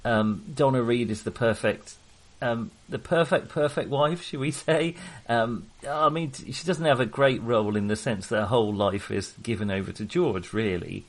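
A man's voice: medium pitch, normal speed, few pauses. A man co-hosting a casual film discussion, chatty, enthusiastic and full of opinions. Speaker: film podcast co-host.

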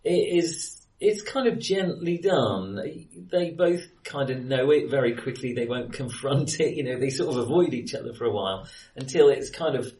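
A man's voice: medium pitch, expressive, moderate pace, hardly any pauses, darkish tone. Male co-host, conversational film chat with hedged asides, slightly distant in a reverberant room. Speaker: film discussion podcast co-host. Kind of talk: casual film discussion. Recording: roomy echo, distant from mic.

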